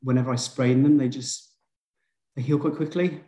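A man's voice: medium pitch, steady tone, normal speed, warm tone. A man from Liverpool, a GP, chatting as a podcast guest and telling stories in a self-deprecating way with plenty of fillers. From Liverpool, England. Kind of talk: casual conversation. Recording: roomy echo.

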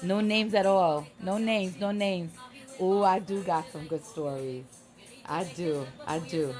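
Chatty low-voiced woman over background music. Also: takes it slowly, a little mellow. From Trinidad. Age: late 30s. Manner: chatty, familiar, addresses the audience often. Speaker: livestream host. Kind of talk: casual livestream storytelling to viewers. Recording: music playing behind the voice.

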